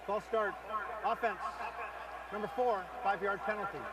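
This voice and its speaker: Distant high-pitched referee over stadium PA. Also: male voice, fairly even intonation, moderate pace. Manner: staccato, official phrasing. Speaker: football referee. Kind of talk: formal stadium penalty announcement. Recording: distant stadium PA, roaring crowd, heavy noise.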